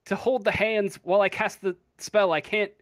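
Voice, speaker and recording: Halting game master, dry close sound. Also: medium pitch, fairly even intonation, no echo. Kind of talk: casual tabletop roleplay narration, halting.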